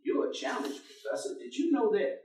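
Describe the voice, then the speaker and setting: A streetwise man onstage, super expressive, teasing and folksy, far off in an echoey theatre. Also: very high voice, brisk. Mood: thoughtful. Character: folksy, probing, streetwise man, patient and wry. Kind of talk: staged play reading. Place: theatre stage. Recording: distant, echoey theatre stage recording.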